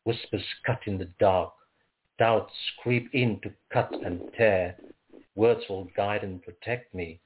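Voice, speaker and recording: A man from Africa, reading his poems aloud in a measured, rhythmic way. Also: lowish voice, fairly even intonation, a little hiss or hum. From South Africa. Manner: measured, rhythmic recitation with pauses. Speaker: poet. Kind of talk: poetry reading. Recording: tinny phone-like audio.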